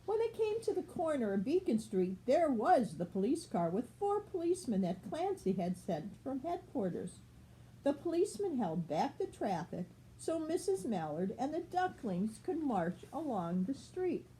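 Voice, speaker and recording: Older female storyteller, grandmotherly, highly animated, higher-pitched voice, picture-book reading over faint noise. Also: normal speed, low-quality recording.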